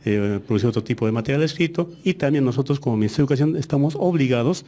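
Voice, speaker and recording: A soft, mellow-voiced man whose first language is Aymara speaks as a government education official. He explains things step by step in a measured way and often pauses with hesitations. His pitch sits in the middle range. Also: expressive. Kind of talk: interview answers.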